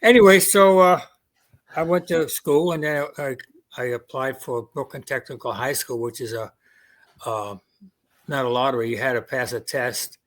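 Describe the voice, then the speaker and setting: A man's voice: mid-range voice, normal speed, a bit bright. Elderly Queens-accented man reminiscing. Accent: New York, Queens. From Germany. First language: German. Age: 80s. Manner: reminiscing, quoting family dialogue with hesitations. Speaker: veteran guest. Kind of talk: casual interview storytelling.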